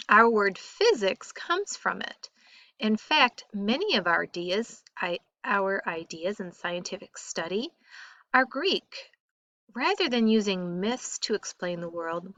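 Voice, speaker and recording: A woman reads a textbook aloud as a teacher would for a class. Her voice is bright and forceful, at a middle pitch, and she takes her time with deliberate emphasis. The sound is close and dry. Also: dry recording, highs cut off. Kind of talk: read-aloud narration of a school textbook.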